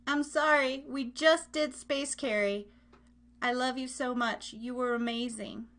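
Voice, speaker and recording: High-voiced woman chatting as live host. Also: steady tone, measured pace, fairly bright tone. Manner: playful, rambling, self-interrupting, addresses listeners directly. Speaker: live radio and podcast host. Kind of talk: casual live show chat with chat room.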